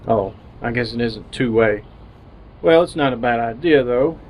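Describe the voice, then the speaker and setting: An actor playing an awkward, lonely city bachelor in an old-time radio drama, halting and bemused as he talks and narrates. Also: mid-range voice, darkish tone, a little hiss or hum, close to an everyday voice.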